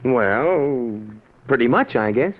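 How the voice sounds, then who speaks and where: A man's voice: mid-range voice, lively intonation, takes it slowly. Through a thin vintage broadcast comes a trusting, curious man in his thirties. He speaks in a mid-range voice with very mobile intonation, hesitant and full of wonder, in 1950s radio drama style. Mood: wondering, amused. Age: 30s. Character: curious, trusting young man, the professor's test subject. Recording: phone-like old radio broadcast recording.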